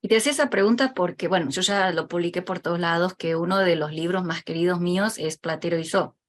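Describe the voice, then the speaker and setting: A woman's voice: lowish voice, fast talker. A woman hosting an interview as a casual, personal conversation. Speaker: interview host.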